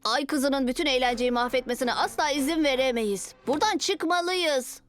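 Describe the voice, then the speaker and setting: Boyish cartoon superhero, woman performer, high voice, proud yet easily embarrassed, slightly defensive. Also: normal speed, natural voice. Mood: determined. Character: proud, self-conscious young superhero cat boy. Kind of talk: animated children's cartoon dubbing.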